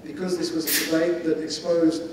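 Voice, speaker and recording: A man giving a considered, discursive panel answer in a measured, explanatory and opinionated way. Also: fairly high pitch, level tone. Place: large indoor conference hall. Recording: echoey hall, distant mic, faint noise.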